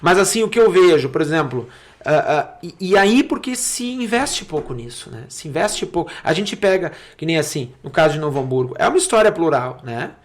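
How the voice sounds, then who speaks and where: Higher-pitched southern Brazilian man, halting delivery. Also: sing-song. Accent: southern Brazilian, Rio Grande do Sul. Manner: halting, repeats words, seeks agreement with frequent tags. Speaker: podcast guest, city councillor. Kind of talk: conversational interview, explanatory.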